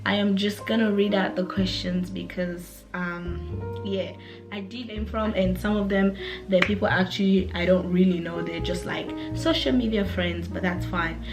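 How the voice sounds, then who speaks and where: A woman's voice: on the low side, normal speed, music in the background. Chatty young African woman vlogger. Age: 20s. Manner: chatty and relaxed, with fillers and restarts. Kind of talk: casual chat to camera.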